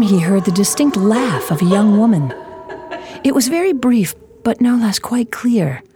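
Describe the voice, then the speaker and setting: A woman giving scripted, measured narration for a suspenseful audio drama. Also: lowish voice, warm tone, breathy edge, no echo.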